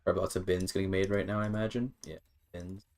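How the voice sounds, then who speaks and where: A man's voice: sits low, flat delivery, fast, creaky, a little mellow. A man giving casual live gameplay commentary, rambling and repeating words as he thinks aloud. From Canada. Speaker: game streamer.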